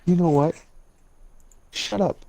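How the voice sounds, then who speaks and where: A man's voice: sits high, takes it slowly, vocal fry, warm tone, no echo, rough recording. Joking man in his fifties, gaming banter. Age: 50s. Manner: joking, teasing, self-mocking about his age. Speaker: co-player on stream.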